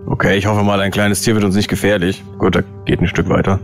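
A man's voice: low voice, flat delivery, fast, creaky. A man talking casually as a player in an online tabletop role-playing game. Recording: music playing behind the voice. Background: background music.

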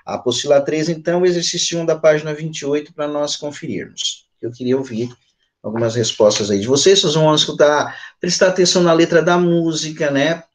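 A man's voice: a little high, fairly even intonation, fairly quick. A man in his forties with a Brazilian accent, an English teacher patiently explaining step by step on a video call, often adding little checking tags. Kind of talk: online lesson, explaining and switching between Portuguese and English. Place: small room at home or study with bookshelves. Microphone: computer mic on a video call.

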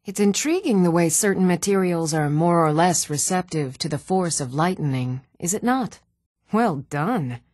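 Fantasy game townswoman, even temper, animated intonation, dry studio sound. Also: on the low side, normal speed, no room sound. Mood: approving. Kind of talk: video game character dialogue.

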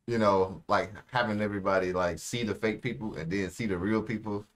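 A man's voice: on the low side, fairly even intonation, brisk. A young American man giving casual, slangy reactions as an anime video host, recorded seated in a small room. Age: young adult. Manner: slangy, informal.

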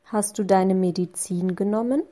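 A woman reading short phrases slowly and clearly, saying each one twice for learners. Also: medium pitch, dark tone. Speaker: language lesson voice. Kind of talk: slow repeated phrase reading.

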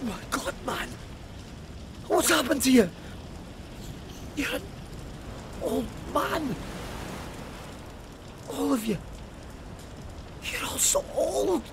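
An actor playing a dazed, distraught police sergeant in an audio drama, halting and strained. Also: male voice, very animated, full character voice. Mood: horrified, dazed. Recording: noisy rumbling background.

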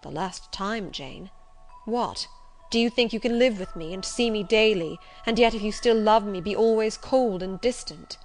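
A woman voicing a passionate, imperious man in an audiobook, forceful and pleading with his beloved. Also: mid-range voice, normal speed, faint music behind, lightly acted, poor audio. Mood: bitter, hurt. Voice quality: hoarse.

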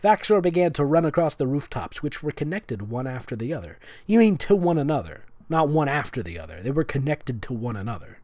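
A man's voice: medium pitch, very animated, a little fast, few pauses, darkish tone, no echo. A man giving a comedic dramatic reading, with sarcastic, nitpicking asides as the host. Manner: sarcastic, nitpicking, breaking into giggles. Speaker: host reading fan fiction aloud.

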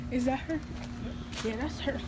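A young woman vlogging from a car, making quick laughing remarks to her viewers. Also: sits high, very noisy. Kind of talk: casual vlog chat. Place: inside a car.

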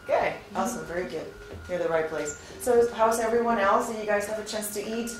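A woman's voice: mid-range voice, steady tone, fairly quick. Woman, 30s, upbeat recruiter chatting to students; captured from afar in a very roomy classroom. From born in Venezuela, raised in Spain. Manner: upbeat, chatty, asks the audience frequent questions. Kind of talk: informal presentation to a class. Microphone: far camera, no visible mic. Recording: very echoey classroom, faint noise.

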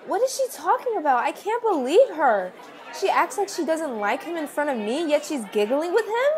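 A woman playing a hurt, suspicious high school girl with dramatic, sassy teen talk in an amateur animated drama. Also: normal speed, a faint crowd. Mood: upset. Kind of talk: amateur voice acting for an animated mini movie.

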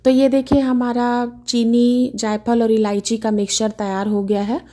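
Mellow-voiced woman hosting a home cooking demo. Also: on the high side, level tone, normal speed. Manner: chatty, homely step-by-step explaining. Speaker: cooking channel host. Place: home kitchen.

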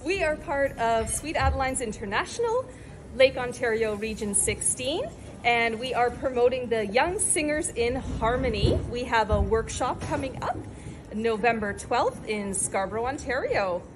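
A woman with a fairly high voice speaks plainly and informatively. Steady background noise is clear behind her. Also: normal speed. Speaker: choir organization representative. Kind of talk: announcement.

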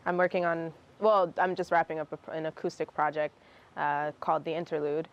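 A young woman with a fairly low voice, a native Russian speaker, talks loosely and restarts her thoughts often. The sound is a bit dull and lo-fi, recorded outdoors on a garden terrace. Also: flat delivery, brisk. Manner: rambling, restarts often, laughs between phrases. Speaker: guest singer. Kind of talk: casual interview answers.

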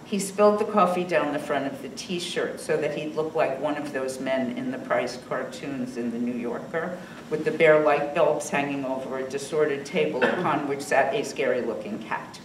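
An older woman reading her own personal essay aloud at a podium, in a measured, reflective way. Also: low voice, slight background noise. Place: small indoor salon with bookshelves and fireplace. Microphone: podium microphone on a stand. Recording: roomy sound from a distance.

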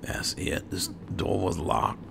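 A man muttering to himself as he gives casual commentary on a game he is playing. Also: slow pace. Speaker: gamer playing a roleplay series. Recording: clear game ambience noise behind voice.

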